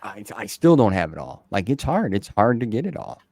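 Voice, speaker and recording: Casual male interview host, low voice. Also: big pitch swings, brisk, dark tone, no echo.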